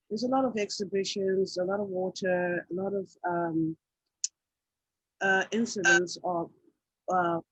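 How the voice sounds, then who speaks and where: Host on a video call: woman from Zimbabwe, husky mid-pitched voice, halting, filler-heavy delivery, dry sound. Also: no echo, low-quality recording. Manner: hesitant, many fillers. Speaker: host and artist. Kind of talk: casual video call talk.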